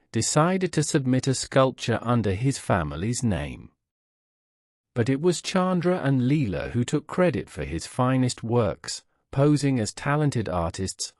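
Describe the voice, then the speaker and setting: Guy reading a bedtime story, takes his time, lively pitch, super dry close recording. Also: dry recording. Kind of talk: scripted bedtime story narration.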